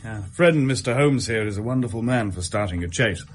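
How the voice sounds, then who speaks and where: Low-voiced British police inspector. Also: male voice, lively intonation, a little fast. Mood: jovial. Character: hearty, plain-spoken, patronising Victorian Scotland Yard police inspector. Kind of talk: radio drama acting.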